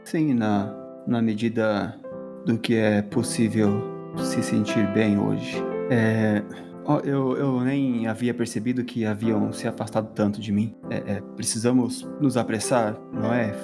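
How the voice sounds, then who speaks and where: A man playing a sad, grieving adventurer in a tabletop game, speaking haltingly with repeated words. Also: on the low side, level tone. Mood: distracted, downcast. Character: sad grieving male adventurer, focused, troubled, missing his parents. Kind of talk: tabletop roleplay acting. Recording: music playing clearly behind.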